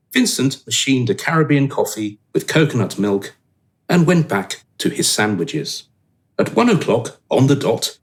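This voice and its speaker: Male radio play narrator, lively intonation. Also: medium pitch.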